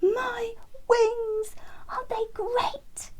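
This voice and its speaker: Woman voicing an excitable, high-pitched little boy wren. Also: very slow, background noise, full character voice. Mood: joyful, excited. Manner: exclaiming, loud and joyful. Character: small excited triumphant young boy wren.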